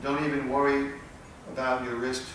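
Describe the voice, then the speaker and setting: A man giving patient, plain spoken instruction while he demonstrates, pausing between short phrases. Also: mid-range voice, little pitch movement, fairly bright tone. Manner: plain, patient explaining with pauses. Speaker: tai chi instructor. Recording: echoey, distant with background noise.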